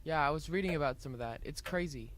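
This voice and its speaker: Curious schoolboy played by a male, slightly high voice. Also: fast, fairly bright tone, natural voice. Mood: curious, surprised. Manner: earnest, casual. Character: polite, curious homeschooled schoolboy researching a report. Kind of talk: family audio drama acting.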